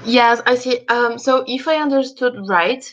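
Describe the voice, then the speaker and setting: Higher-pitched woman host on a dull call line. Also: steady tone, measured pace. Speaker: host of an online meetup. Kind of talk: casual chat. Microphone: video call. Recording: dull, lo-fi video-call audio.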